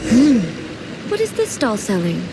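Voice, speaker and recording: A woman's voice: a little high, huge pitch swings, a little slow, background noise, exaggerated voice. A woman playing a dignified, aloof ruler in a video game, speaking in a formal, composed and proud manner. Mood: composed, curious. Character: dignified, aloof female ruler, calm, matter-of-fact and proud. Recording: faint music behind.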